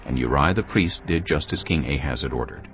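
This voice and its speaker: Very deep-voiced man narrating scripture. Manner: measured, grave storytelling. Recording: dull lo-fi sound over cinematic music. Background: cinematic music.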